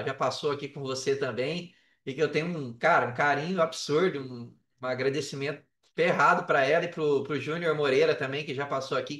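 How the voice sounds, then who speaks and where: A man with a notably high voice and a Brazilian Portuguese accent speaks as a podcast guest. He tells stories casually in slangy, repetitive bursts and acts out what people said. The sound is roomy and a little far from the mic. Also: fairly bright tone. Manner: slangy, swearing, emphatic repetition, acts out reported dialogue. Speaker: podcast guest, affiliate marketer. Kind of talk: casual, animated personal storytelling on a podcast. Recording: roomy echo, distant from the mic.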